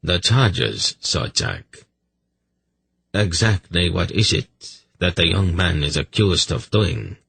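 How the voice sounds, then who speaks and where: A cold, aged fantasy hierarch , acted by a man, hollow and gravelly. He speaks low and slowly, with sardonic, non-committal authority. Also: big pitch swings, fairly bright tone, no room sound, exaggerated voice. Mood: restrained displeasure. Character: cold, aged, corpse-like high priest, commanding and sardonic.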